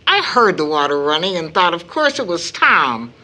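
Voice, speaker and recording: An older mother in an audio play, her voice bright and swinging widely in pitch. She is kindly and a little fussing, with a homely, affectionate manner. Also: big pitch swings, normal speed. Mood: cheerful, surprised. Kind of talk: stage play audio drama acting.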